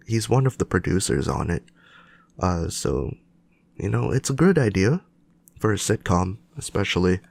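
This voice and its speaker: A young man hosts his solo podcast with a deep, gravelly voice, made nasal by a stuffed nose from a cold. He rambles casually, filling gaps with filler words. The sound is close and dry. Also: a little mellow, no echo. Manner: rambling, many fillers and restarts. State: sick with a cold. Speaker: podcast host.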